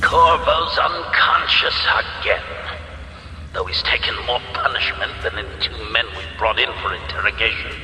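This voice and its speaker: An actor playing a cold, menacing official in a video game, speaking in a formal, calculating, measured way. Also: male voice, mid-range voice, expressive, close to an everyday voice. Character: cold, menacing male official plotting over a prisoner. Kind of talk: dramatic video game dialogue. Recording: echoey hall with clear background noise.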